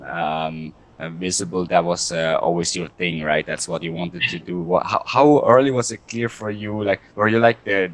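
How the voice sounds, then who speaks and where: A man hosting a conversational interview, speaking in halting, deliberate phrases. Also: sits low. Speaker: podcast host interviewing a guest.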